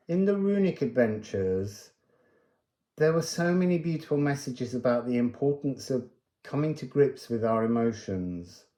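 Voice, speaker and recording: Male spiritual card reader, relaxed mellow timbre, medium pitch, unscripted and reflective with emphasized phrases. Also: reverberant.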